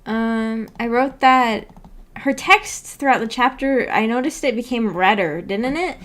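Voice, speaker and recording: A woman hosting a casual livestream discussion, musing tentatively out loud with a giggly manner. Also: fairly high pitch, unhurried. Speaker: host of a book club stream.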